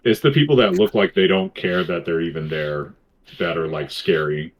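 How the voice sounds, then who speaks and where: A man's voice: low-pitched, normal speed, lo-fi sound, a little hiss or hum. A man co-hosting a casual podcast, crude and swearing, explaining things with lots of "like". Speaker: podcast co-host.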